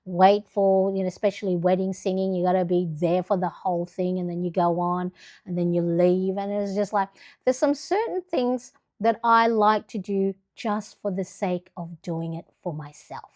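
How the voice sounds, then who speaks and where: Middle-aged woman from the Philippines, chatty livestream host, rambling, medium pitch. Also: moderate pace, a little mellow. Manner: chatty, rambling with many fillers and restarts. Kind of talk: casual livestream chat.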